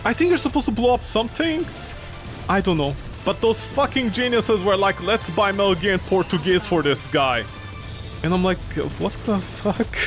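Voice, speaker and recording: A man streaming a game, talking casually in a rambling, swearing way, jumping from loud outbursts to low mumbles; English is not his first language. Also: lively intonation, moderate pace. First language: not English; grew up with French, Italian. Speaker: gaming streamer. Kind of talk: casual let's-play commentary. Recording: game music loud behind.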